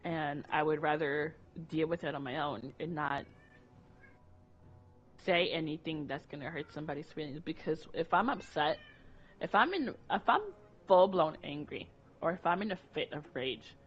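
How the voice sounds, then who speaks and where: A woman's voice: low voice, moderate pace, husky. A woman co-hosting a casual chat, talking candidly and rambling with many restarts. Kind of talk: casual conversation. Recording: dull lo-fi audio with faint music.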